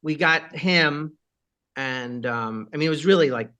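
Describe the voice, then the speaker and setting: Bright-voiced man, rambling rock singer. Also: measured pace, smooth. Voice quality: high voice. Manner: rambling, many fillers and asides. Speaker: rock singer, interview guest. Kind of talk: casual interview chat.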